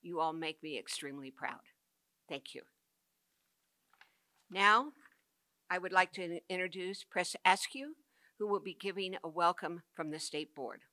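A woman's voice: on the low side, unhurried, pauses often, sharp tone, dry recording. Woman speaking at a commencement podium. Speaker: college president. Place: indoor stage with podium. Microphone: podium mic.

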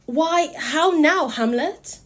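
High-voiced reproachful queen mother played by a woman. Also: slow pace. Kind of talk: Shakespearean tragedy, audio drama.

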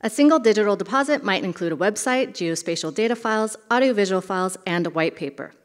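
A woman giving a short conference presentation from a lectern, in a brisk, plainspoken way. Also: mid-range voice, animated. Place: large hall, at a lectern. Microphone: podium microphone. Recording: hall reverb.

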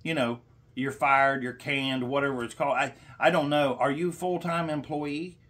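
A middle-aged man making a confrontational complaint as a caller, speaking in a halting, insistent, lecturing way, recorded in a small room. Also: mid-range voice, steady tone, fairly bright tone. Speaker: caller to a senator's office.

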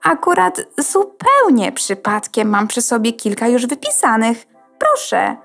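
A woman's voice: fairly high pitch, wildly swooping pitch, measured pace, gravelly, fairly bright tone, heavily acted. A woman playing a vain, self-important opera diva in a theatrical children's radio play. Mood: grand, self-satisfied. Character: vain female opera diva, a computer component.

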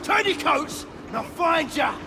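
A man's voice: very high voice, lively intonation, unhurried, heavy background noise, heavily acted. A man playing a curt, sardonic veteran soldier and NCO in a video game, barking scornfully. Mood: stern, sardonic. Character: gruff hard-bitten male veteran soldier, profane, sardonic militia NCO. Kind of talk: video game combat barks and banter. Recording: loud music and gunfire behind.

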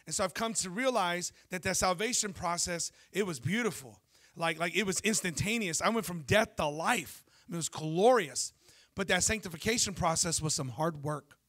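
Bright-voiced animated preacher man. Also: high voice, moderate pace. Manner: animated storytelling preacher, jokes and asks the crowd questions. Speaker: pastor. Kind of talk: sermon. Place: church stage before a congregation. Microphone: handheld mic.

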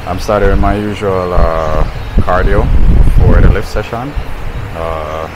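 A man in his thirties with a very low voice talks to his followers in a laid-back, casual vlog style. He takes his time with his words. Loud ambient noise runs behind him throughout. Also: slow pace. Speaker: fitness vlogger. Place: car at night, then a large indoor gym.

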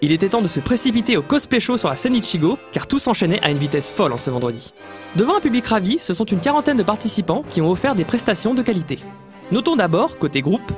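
Lively male voice-over over music. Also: sits high. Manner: lively, upbeat delivery. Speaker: reporter narrating a convention recap. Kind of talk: scripted news voice-over.

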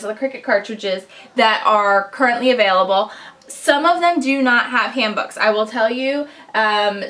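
Upbeat woman with a stuffy nose doing a how-to video at home, slightly high voice. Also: a bit bright. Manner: chatty and upbeat, talks straight to camera. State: stuffy from a cold. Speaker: crafting channel host. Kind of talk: casual tutorial vlog. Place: small room indoors at home.

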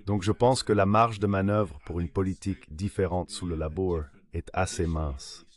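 A man's voice: low-pitched, steady tone, normal speed. A synthetic male voice delivering a translated voice-over of an interview guest's answers.

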